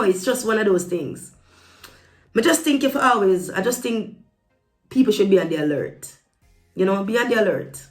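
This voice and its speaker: A woman with a Jamaican accent giving a casual opinion monologue to viewers, emphatic and repeating phrases to drive them home. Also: medium pitch. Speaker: vlogger. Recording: echoey room with music behind. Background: background music.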